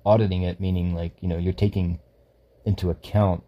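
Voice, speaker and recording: A man's voice: deep voice, flat delivery, a little fast, dull audio. A man co-hosting a podcast in casual faith chat, rambling and correcting himself as he talks. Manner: rambling, self-correcting, laughs often. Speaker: podcast co-host.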